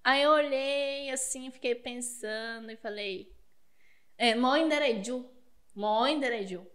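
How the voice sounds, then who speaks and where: A woman with a high voice tells a long childhood story in a relaxed podcast chat. She laughs often as she talks. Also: slow. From Paraguay border region. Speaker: guest.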